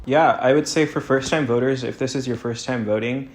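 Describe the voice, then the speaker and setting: A man's voice: medium pitch, fairly even intonation, fairly quick, reverberant. A twenty-one-year-old man from Sacramento, California, speaking matter-of-factly in an interview. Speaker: first-time voter interviewee.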